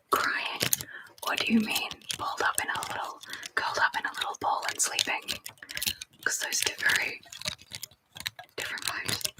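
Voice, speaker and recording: Whispering woman, rambling and chatty. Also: low-quality recording. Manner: soft rambling whisper, chatty and self-deprecating. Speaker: ASMR streamer. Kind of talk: whispered ASMR live stream chat. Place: home desk. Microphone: sensitive close mic.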